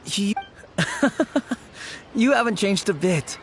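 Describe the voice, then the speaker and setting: An actor playing a gentle, fond friend in a video game, speaking softly and reassuringly. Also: male voice, high-pitched, sing-song, very slow, slight background noise, full character voice. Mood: fond, relieved. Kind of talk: animated video game dialogue. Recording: game music behind the voice.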